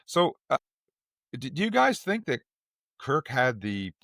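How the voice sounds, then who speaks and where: A man's voice: mid-range voice, very animated, takes it slowly, a bit bright, dry recording, studio quality. A man hosting a podcast, talking in a casual discussion style. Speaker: podcast host.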